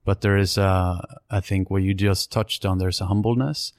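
A man interviewing a guest, asking long, halting questions. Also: low-pitched, steady tone, a little mellow, no echo, studio quality.

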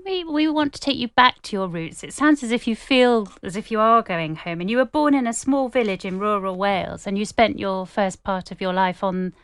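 A woman's voice: medium pitch, normal speed, hardly any pauses, fairly bright tone, clean tone, no echo, studio quality. A woman hosting an interview, putting direct, probing questions to her guest. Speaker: interview host.

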